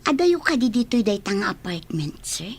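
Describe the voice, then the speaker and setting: A woman's voice: mid-range voice, sing-song, normal speed, slightly rough. A woman acting in a radio drama as a hesitant young woman who defers to her boss. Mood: timid, questioning.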